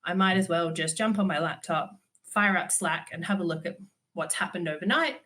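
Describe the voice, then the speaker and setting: Aussie woman in her 30s giving a virtual talk, bit stuffy from a cold, lower voice, lots of ums, dry jokes. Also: moderate pace, sharp tone. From Australia. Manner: candid, dryly humorous, frequent ums. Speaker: conference speaker.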